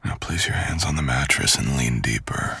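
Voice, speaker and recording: Man voicing a very deep, gravelly seductive stalker. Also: sharp tone, slight background noise, full character voice. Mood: sultry, commanding. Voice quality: gravelly, breathy.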